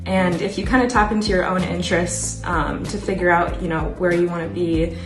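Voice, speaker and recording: Young woman, low voice, earnest climate communicator; echoey room, music bed. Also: steady tone, brisk. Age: young adult. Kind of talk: earnest panel talk. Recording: roomy echo with music behind.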